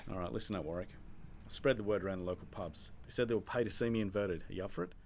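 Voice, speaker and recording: A man's voice: racing, vocal fry, noisy background. Man putting on a brash Aussie farmer. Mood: scheming. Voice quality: higher, louder, blustery delivery. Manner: swearing, cocky, pushy. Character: brash Australian farmer man, late thirties, stubborn and cocky.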